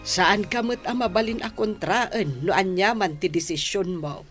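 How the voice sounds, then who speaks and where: A woman's voice: mid-range voice, measured pace, a bit bright, soft background music, close to an everyday voice. An actress playing a patient, middle-aged mother giving counsel in an Ilocano radio drama, with an Ilocano accent. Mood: gently advising.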